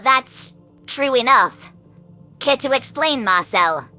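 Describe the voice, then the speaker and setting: A man's voice: very high-pitched, animated, slow pace, bright, forceful tone, faint music behind, voice pushed up, full character voice. A man voicing a suspicious female alien insect general, a wary and questioning mother, in an audio story.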